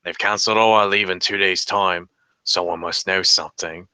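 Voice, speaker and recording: A grudging, jumpy criminal informant, played by a man at a table read. His voice sits a little low with a very bright, tense edge. He is defensive and incredulous, and the recording is close and dry. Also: fairly even intonation, moderate pace, smooth, no room sound. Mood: grudging, knowing. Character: jumpy, grudging male underworld informant, the Hatter. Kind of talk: scripted table read, crime drama.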